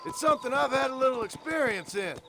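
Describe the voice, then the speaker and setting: A man playing a reserved, courteous cowboy gunslinger in a Western video game, with a rural American West accent and a dry, deadpan manner. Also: very high-pitched, fast talker. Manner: laconic, polite, deadpan.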